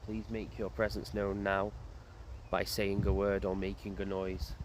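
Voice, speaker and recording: A man's voice: low voice, completely flat delivery, unhurried. A man vlogging in a graveyard, musing aloud and asking himself questions as he walks. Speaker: paranormal investigator vlogger. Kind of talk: casual walking commentary. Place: outdoors in a graveyard. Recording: loud outdoor noise, passing motorbike.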